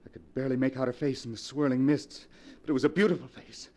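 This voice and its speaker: An actor playing a haunted, obsessed husband in love with a ghost, intense and melodramatic in 1940s radio style. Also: male voice, mid-range voice, fairly even intonation, quick pace, dark tone, lightly acted. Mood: dazed, dreamy.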